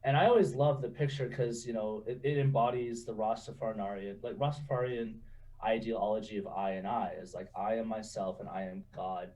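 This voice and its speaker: Man in his twenties, low voice, easygoing storyteller, slightly distant mic. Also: normal speed. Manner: relaxed, chatty, laughs often, says "you know" a lot. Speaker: guest. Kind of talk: casual interview storytelling.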